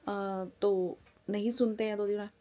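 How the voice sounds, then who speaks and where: A woman's voice: medium pitch, fairly even intonation, a little slow, dark tone. A woman in her forties from India, chatting frankly and casually to camera at home as a family vlogger. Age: 40s. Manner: frank, chatty, talks plainly and openly.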